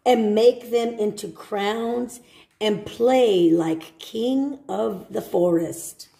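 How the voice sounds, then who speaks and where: A woman reading a children's storybook aloud, with simple, deliberate phrasing and short asides for young listeners. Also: mid-range voice, vocal fry, mellow, roomy sound. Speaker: storybook reader. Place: indoor room at home.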